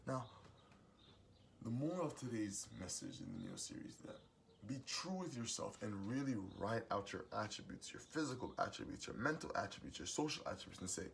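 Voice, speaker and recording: A young adult man with a booming voice gives a preachy, emphatic motivational monologue as host of his own series. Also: sing-song, fairly quick, creaky, a little hiss or hum. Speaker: host giving advice monologue.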